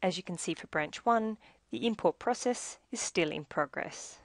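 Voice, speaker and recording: A woman reading scripted instructional narration for a software tutorial in a measured, explanatory way. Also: fairly low pitch, lively intonation, no echo.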